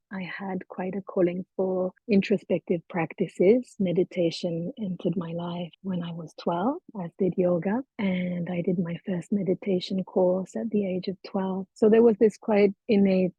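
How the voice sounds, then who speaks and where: Husky, gravelly-voiced woman guest. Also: fairly low pitch, no room sound, phone-quality sound. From Serbia, raised between Serbia and the UK. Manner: measured, articulate, academic phrasing. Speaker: podcast guest. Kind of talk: reflective interview answers.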